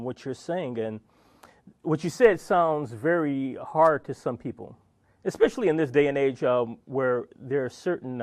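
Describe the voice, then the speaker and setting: A man hosting a TV talk show, speaking earnestly and building up to forceful statements. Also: fairly high pitch, no room sound, clean studio sound. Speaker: TV show host.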